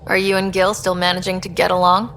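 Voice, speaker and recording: An inquisitive female expedition leader in a video game. Her voice is fairly low with a noticeable creak, and she is direct and probing. There is ambient noise behind her. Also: completely flat delivery, quick pace, a bit bright. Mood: curious. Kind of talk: video game dialogue acting.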